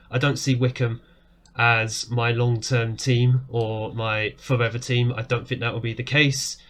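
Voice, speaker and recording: A man, talking casually over a football management game. His voice is starting to go hoarse, and it jumps up in excitement whenever a goal goes in. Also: medium pitch. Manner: excitable, jumps up when goals go in. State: voice going hoarse. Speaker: game streamer. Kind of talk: casual gameplay commentary. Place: small room indoors. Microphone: webcam framing at desk.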